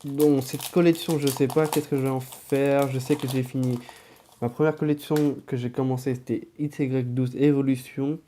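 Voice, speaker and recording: A man with a head cold and sore throat chatting casually while opening card packs, now and then muttering quiet asides as he handles the cards. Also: medium pitch, flat delivery, normal speed, slight background noise.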